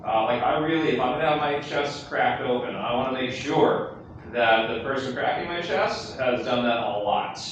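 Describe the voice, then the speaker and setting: A man's voice: medium pitch, moderate pace, muffled recording. A man giving closing remarks at a conference, emphatic and enthusiastic, often repeating phrases. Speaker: conference co-presenter. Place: large conference hall. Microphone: handheld mic that keeps cutting out. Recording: echoey hall, distant from mic, background noise.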